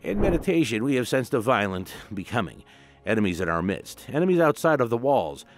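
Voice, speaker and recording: A solemn high priestess from a comic-book story, put on by a male narrator. She speaks slowly and cryptically, her pitch rising and falling widely. The effect is ominous, with faint music below. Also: sing-song. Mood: ominous warning.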